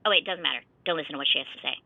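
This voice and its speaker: A woman's voice: low voice, very fast, vocal fry, no room sound, phone-quality sound. A woman playing a spoiled, self-absorbed theater diva, haughty and dramatic, in an audio comedy.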